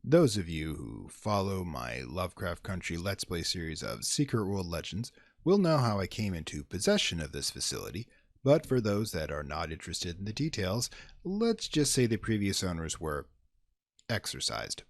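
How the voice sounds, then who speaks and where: Man, deep voice, expressive swings, theatrical horror host introduction, dry sound. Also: normal speed, dry recording. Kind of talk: scripted introduction to horror radio show.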